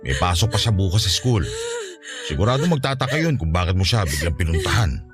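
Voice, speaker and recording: Reassuring, very animated Filipino husband. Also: male voice, sits low, fast. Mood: firm, calming. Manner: soothing, insistent, emphatic. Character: reassuring, protective husband and father Danilo. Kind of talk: radio drama acting. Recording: music bed behind voice.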